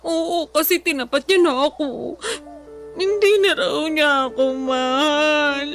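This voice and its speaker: A woman's voice: high-pitched, takes it slowly, a bit bright, faint music behind, full character voice. An actress playing a heartbroken, self-conscious young woman in a radio drama, plaintive and whiny. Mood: tearful, wounded.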